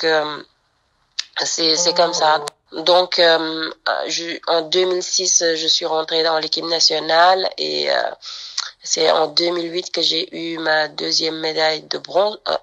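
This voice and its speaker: A woman's voice: low voice, creaky, a bit bright. A woman athlete from Senegal, probably in her thirties, telling her story in an interview with many hesitations and restarts. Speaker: fencer, olympic athlete. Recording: dull lo-fi sound.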